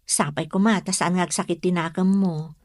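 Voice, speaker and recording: A woman's voice: on the low side, steady tone, moderate pace, no room sound, natural voice. Woman acting young office gal in an ilocano radio drama, fed up with her sweet boyfriend, dry close sound. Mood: weary.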